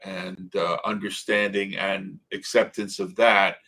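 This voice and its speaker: A man co-hosting an interview, speaking in a halting way with many filler pauses. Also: sits low, little pitch movement, bright, forceful tone. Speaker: podcast co-host. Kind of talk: casual interview chat.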